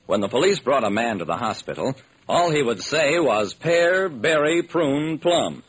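An actor playing a wry, sharp-witted detective who narrates and acts in an old-time radio drama. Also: male voice, medium pitch, sing-song, a little slow, breathy edge, exaggerated voice. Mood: inquisitive. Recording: noisy vintage radio recording.

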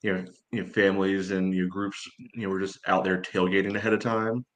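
A man co-hosting a casual sports podcast, speaking in a measured, hedging way with many filler phrases. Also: low-pitched, flat delivery. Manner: measured, hedging, peppered with "you know".

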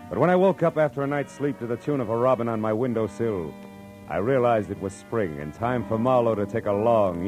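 An actor playing a wry, world-weary private eye, slangy and terse, in 1940s radio style. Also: male voice, on the low side. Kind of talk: 1940s radio acting, first-person narration.

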